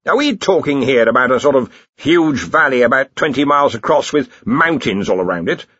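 A man's voice: animated, brisk, a bit bright, breathy edge, dry recording, highs cut off. Man acting a blustering, bossy old wizard chief. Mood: brisk, musing. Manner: argumentative, booming, impatient. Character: blustering, domineering old male wizard, head of faculty.

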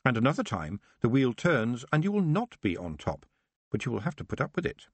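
Man reading a calm young witch, practical, reasoning, lively pitch. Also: a little fast, dry recording. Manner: calm, plain-spoken, reasoning.

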